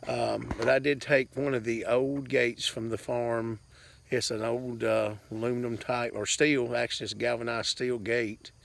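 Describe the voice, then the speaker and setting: A man's voice: medium pitch, little pitch movement, unhurried, creaky, fairly bright tone, slight background noise. A man gives a casual, rambling update to viewers in a folksy, plainspoken way, speaking outdoors into a handheld camera. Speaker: farm vlogger. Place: outdoors on a farm.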